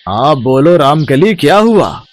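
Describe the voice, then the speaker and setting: A man playing a stingy, grumpy village headman, dismissive and insistent, in an animated story. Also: on the high side, measured pace. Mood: brusque.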